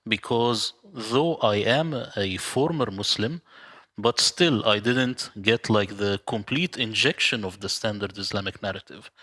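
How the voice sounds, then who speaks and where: A man from the Middle East, a native Arabic speaker, who talks in an earnest, measured way. He is an interview guest joining over a video call. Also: fairly low pitch, sharp tone.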